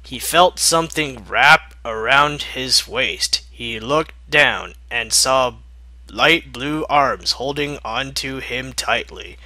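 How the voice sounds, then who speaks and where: A man's voice: medium pitch, lively intonation, slow, pushed and bright, no echo. A man reading a fan-written story aloud as a narrator, with a mocking, sarcastic edge. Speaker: fanfiction reader.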